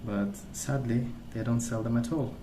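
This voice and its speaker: A man rambling casually in a soft-spoken ASMR style, hesitant and meandering as he hosts. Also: mid-range voice, fairly even intonation, normal speed, roomy sound, background noise. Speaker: ASMR video host.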